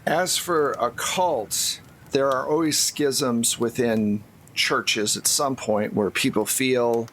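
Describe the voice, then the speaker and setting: A man voicing a stern, weary Mother Superior in a tabletop game, with a deliberate, dismissive manner. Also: mid-range voice, fairly bright tone, slight background noise, rough recording. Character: stern sighing old nun, a Mother Superior.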